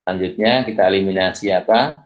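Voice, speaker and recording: A man with a deep, low voice works through a lesson like a lecturer. He thinks aloud informally, going step by step, and keeps putting questions to himself that he then answers. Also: fairly even intonation, fast, lo-fi sound. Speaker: accounting lecturer. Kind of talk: informal worked-solution tutorial, thinking aloud.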